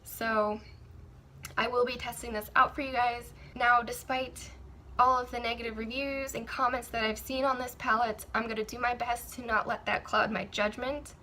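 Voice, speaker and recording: A young woman with a raspy, sore throat, chatting candidly through a makeup review with frequent ums and restarts. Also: on the high side, level tone, normal speed, bright, forceful tone, slight background noise. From Maryland, USA. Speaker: beauty vlogger. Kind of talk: casual product review.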